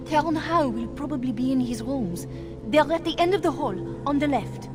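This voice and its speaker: A woman's voice: sits high, animated, normal speed, music in the background. An actress voicing a deferential servant woman in a fantasy video game.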